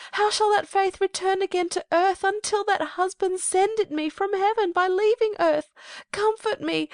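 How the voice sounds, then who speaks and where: A woman's voice: extremely high pitch, dry recording, clean studio sound. A woman playing an anguished, passionate teenage noble girl in an impassioned Shakespearean dramatic reading. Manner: pleading, impassioned.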